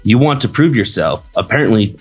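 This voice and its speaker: A man voicing a calm, teasing male minotaur, an older brother, in a comic story reading. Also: on the low side, a little fast, husky, soft background music. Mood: calm, probing.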